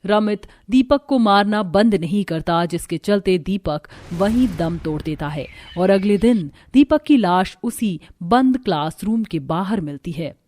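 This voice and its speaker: A woman narrator reading a scripted animated horror story. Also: on the low side, no room sound.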